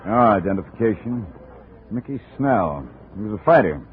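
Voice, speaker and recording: Cautious police lieutenant, low official tone, muffled vintage radio recording. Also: male voice, very animated, normal speed, a little hiss or hum. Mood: businesslike. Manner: matter-of-fact, official. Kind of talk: 1950s radio drama acting.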